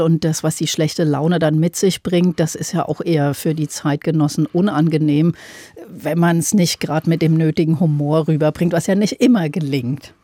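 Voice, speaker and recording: A woman's voice: low voice, dark tone, no echo. A woman in her fifties, a journalist and author, talking frankly and self-deprecatingly as a radio guest. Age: 50s. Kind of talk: casual discussion.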